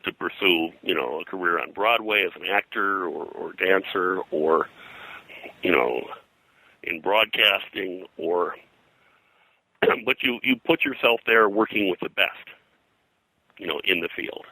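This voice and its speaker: An older man with a low voice recalls his career in a conversational interview. He rambles and halts, doubling back on words. The sound is sharp and forceful, captured very dry and close. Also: no echo, low-quality recording. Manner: rambling, halting, repeats words. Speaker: interview guest, pop culture historian and pulp publisher.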